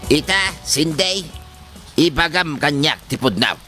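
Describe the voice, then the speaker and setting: An actor playing a hot-headed old father in a comedy drama, scolding and firing sharp questions. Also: a little high, animated, measured pace, music in the background. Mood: stern.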